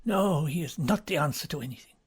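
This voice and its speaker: A troubled, dutiful older cardinal voiced by a male narrator speaks with earnest modesty in an audiobook. The voice is husky and breathy, lifted a little above plain narration. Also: on the high side, animated, fairly quick. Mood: appalled.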